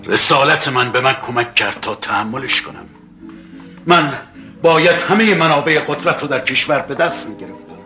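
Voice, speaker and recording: A man's voice: medium pitch, animated, bright, forceful tone, soft background music. An actor playing a proud, intense, brooding middle-aged man in a declamatory, emphatic televised stage drama style. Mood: grandiose, brooding.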